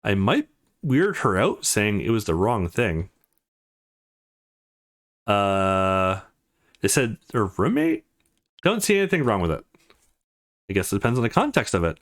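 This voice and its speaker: A man's voice: fairly low pitch, very animated, moderate pace, long pauses. A man reading visual novel dialogue aloud for a let's play, acting out the lines.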